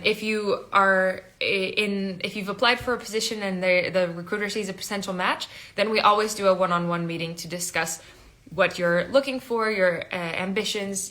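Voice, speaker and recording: A woman with an American accent tinged with Dutch, hosting an informal live Q&A and explaining things helpfully, with hesitant pauses. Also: on the low side, fairly bright tone. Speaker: recruitment agency staff hosting a live Q&A.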